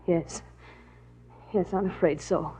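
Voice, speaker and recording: An actress playing a prim, nervous wife in a 1940s radio drama, hesitant and stammering, with a husky voice. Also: female voice, lowish voice, steady tone, slow, warm tone, slight background noise. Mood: anxious, defensive. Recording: dull vintage radio recording.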